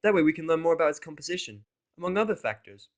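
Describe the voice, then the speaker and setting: A man voicing an eager, bookish female unicorn scholar with an excitable, inquisitive, lecturing manner. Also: on the high side, racing, pitched above the performer's own voice.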